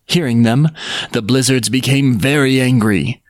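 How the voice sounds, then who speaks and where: A man's voice: medium pitch, a little slow, no echo, clean studio sound. A man narrating a scripted folk tale as a podcast storyteller.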